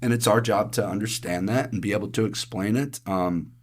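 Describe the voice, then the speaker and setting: A man with a raspy, allergy-hoarse voice, chatting through monthly market results on a podcast with everyday analogies. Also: fairly low pitch, brisk. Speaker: investment advisor co-host. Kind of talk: casual podcast market commentary.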